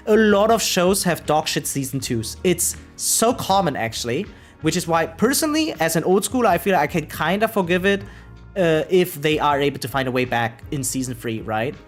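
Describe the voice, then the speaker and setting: Game streamer, casual and opinionated, very lively intonation, mid pitch, light music underneath. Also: moderate pace. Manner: animated, opinionated, informal with swearing. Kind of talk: casual livestream chat.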